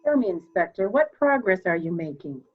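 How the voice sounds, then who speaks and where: A woman playing a proud, formal, candid elderly mother in a murder mystery reading, speaking deliberately. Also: mid-range voice, big pitch swings, husky, narrow, tinny audio. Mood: cool, musing. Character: proud, cold old mother, candid and slightly deaf.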